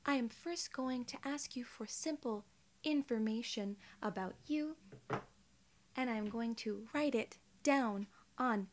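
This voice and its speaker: Woman role-playing a soft-spoken, formal female alien gatekeeper. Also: fairly high pitch, a little hiss or hum. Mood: polite, reassuring. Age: 20s. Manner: soft, measured, formal, reassuring. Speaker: ASMR role-player.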